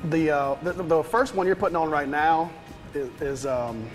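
A man's voice: fairly high pitch, moderate pace, music in the background. A man on a TV studio set showing how to make pizza, often repeating and restarting his words as he explains. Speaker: pizza restaurant manager and guest. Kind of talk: casual cooking demo talk.

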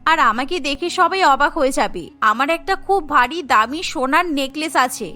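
Woman voicing a haughty rich young wife, very high-pitched. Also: animated, normal speed, sharp tone, faint music behind. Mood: boastful. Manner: boastful, scornful, dramatic.

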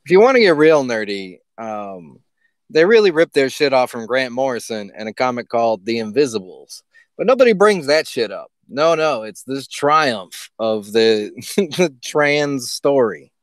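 A man's voice: mid-range voice, big pitch swings, measured pace, dry recording. A man co-hosting a podcast in casual comedic banter, speaking in a mocking, incredulous and profane manner. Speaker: podcast co-host.

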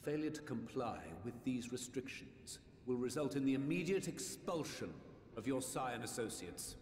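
An actor playing a stern, officious council chairman in a game, speaking formally and with measured authority. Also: mid-range voice, expressive, darkish tone, natural voice. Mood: stern, official. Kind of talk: video game cutscene acting. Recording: echoey chamber reverb, music behind.

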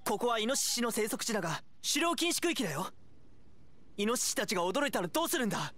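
A man's voice: extremely high pitch, big pitch swings, fast talker, a bit bright, heavily acted. An actor playing an earnest young male hunter in an anime game, reproving and principled.

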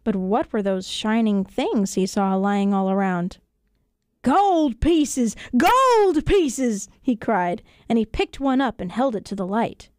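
A woman's voice: mid-range voice, normal speed, few pauses, clean tone, no echo. A woman storyteller narrating a scripted children's tale expressively, recorded in a studio. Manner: expressive, acts out dialogue. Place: radio studio.

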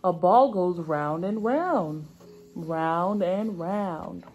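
A woman's voice: on the low side, lively intonation, drawn-out words, a little mellow, lo-fi sound, slight background noise. A young woman speaking as a preschool teacher, reading aloud to small children in simple, repeated, stretched-out phrases. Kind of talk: children's read-aloud lesson. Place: indoor classroom.

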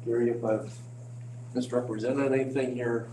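A man with a slightly crackly voice, briefing a meeting informally as an official, halting and hedging as he goes. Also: medium pitch, flat delivery. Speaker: village official presenting to a board. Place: indoor village board meeting room. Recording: echoey meeting room, clear hum.

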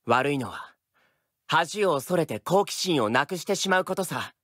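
An easygoing young boy baseball player from an anime game, breezily confident. His delivery is lively in pitch, over very loud music. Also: unhurried. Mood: breezy, confident. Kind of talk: anime-style game voice lines.

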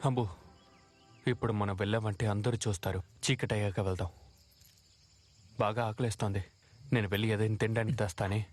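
A man's voice: fairly low pitch, fairly even intonation, a little fast, pauses often, lightly acted. A man voicing a scheming, greedy woodcutter in a cartoon moral fable. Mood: sly.

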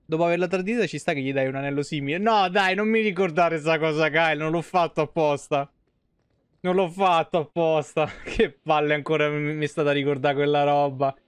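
A man's voice: fairly high pitch, fairly even intonation, a little fast, clean tone, no echo, clean studio sound. A man in his thirties doing casual live game commentary and chatting with viewers, informal and swearing freely. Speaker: live streamer.

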